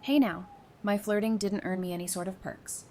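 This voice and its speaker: Wry, teasing woman as a quick-witted flirt, noisy recording. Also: fairly even intonation, a little mellow, close to an everyday voice. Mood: dry, defensive. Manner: dry, teasing banter. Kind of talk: scripted audio drama acting. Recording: noisy track with low rumble.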